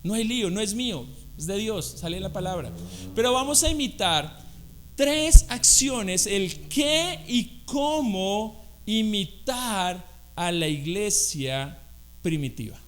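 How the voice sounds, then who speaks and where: A man's voice: high-pitched, expressive, takes it slowly, fairly bright tone. A Colombian man with a Colombian accent preaching a conversational sermon in church, often asking the congregation questions. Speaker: guest preacher.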